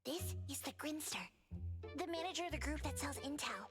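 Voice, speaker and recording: An actress voicing a small, perky, cheeky, childlike shop helper in a video game. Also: female voice, high-pitched, lively intonation, fairly quick. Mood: chirpy. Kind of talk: scripted video game dialogue. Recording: music playing behind.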